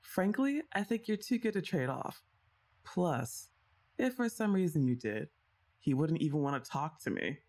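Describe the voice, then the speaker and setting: A young woman plays a best friend's older sister in an ASMR roleplay, chatty and teasing, laughing often. Her pitch moves a lot and sounds lively. She talks straight to the listener. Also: normal speed, heavily acted. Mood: playful. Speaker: voice actress.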